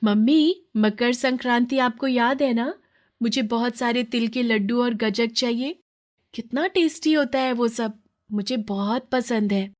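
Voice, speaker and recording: Woman as little girl, raised pitch, sweet, childlike, pleading, storybook. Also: measured pace, natural voice. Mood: eager.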